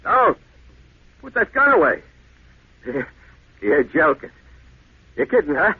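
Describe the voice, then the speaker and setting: A man's voice: a little high, wildly swooping pitch, slow pace, husky, narrow, tinny audio, exaggerated voice. A man playing a nervy, incredulous crewman on a Mars mission in a 1950s radio drama. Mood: shocked panic. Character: nervy, incredulous rank-and-file spaceman in a Mars crew. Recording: thin, faintly noisy 1950s radio broadcast.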